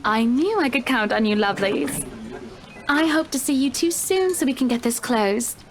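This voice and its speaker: A woman's voice: high-pitched, expressive, moderate pace. A woman playing a wealthy, pushy female house buyer in a visual novel, effusive and condescendingly polite. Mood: gushing, imperious. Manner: effusive, condescending, addresses people with endearments.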